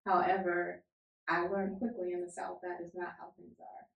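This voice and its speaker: A woman co-hosting a podcast as a family therapist, telling stories in a lively way and laughing often. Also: lowish voice, moderate pace, creaky, highs cut off. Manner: animated storyteller, laughs often. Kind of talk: casual podcast chat. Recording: echoey room.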